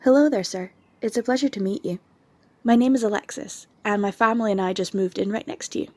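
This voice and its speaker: A woman's voice: mid-range voice, quick pace, mellow, dry recording, close to an everyday voice. A woman playing a sweet young vampire girl. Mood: friendly. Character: young vampire woman, friendly new neighbor. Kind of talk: ASMR audio drama.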